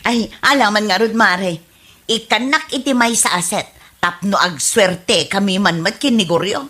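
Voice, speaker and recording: A woman's voice: mid-range voice, normal speed, bright, forceful tone. A woman with an Ilocano accent playing a carefree, gullible wife in a radio comedy drama, breezy and defensive. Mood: eager, curious. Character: carefree, gullible wife, fond of lucky charms.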